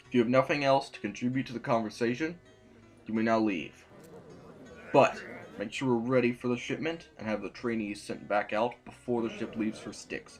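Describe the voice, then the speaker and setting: An actor playing a formal, ruthless and weary council sovereign in an audio drama, speaking in deliberate official phrases. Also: male voice, lowish voice, husky.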